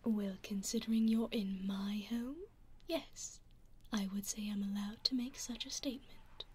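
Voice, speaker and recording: A woman with a British accent voicing a teasing aristocratic female vampire in an ASMR roleplay, whispering softly and slyly into a binaural mic. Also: medium pitch, fairly even intonation, unhurried, breathy edge. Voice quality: soft whisper, sly and mocking.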